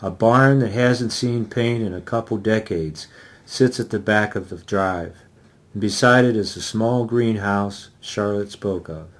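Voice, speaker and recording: A man's voice: lowish voice, measured pace. A man, the author, giving a dramatic reading of his first-person novel.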